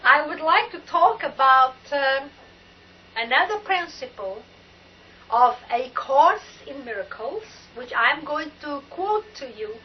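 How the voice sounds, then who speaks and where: An older Portuguese-accented woman gives a spiritual talk in a high voice that swoops up and down. She speaks slowly and emphatically. The recording is dull and lo-fi, like an old cable TV tape. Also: animated, a little hiss or hum. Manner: emphatic and exclamatory, drawn-out words. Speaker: spiritual talk show host.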